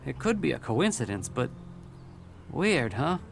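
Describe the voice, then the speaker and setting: A man playing a blunt, irritable companion in a post-apocalyptic video game, with a gravelly voice and casual, colloquial delivery. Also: medium pitch, sing-song, measured pace. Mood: musing. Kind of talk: video game dialogue acting. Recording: faint ambient noise with insects.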